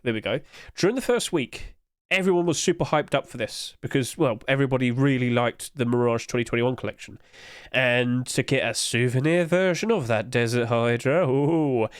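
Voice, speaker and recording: A man's voice: medium pitch, normal speed, clean tone, no echo, studio quality. A man with a blocked-up nose, chatting casually over his screen about in-game item prices, loose and full of fillers. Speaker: gaming market commentator. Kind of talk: casual screen-share commentary.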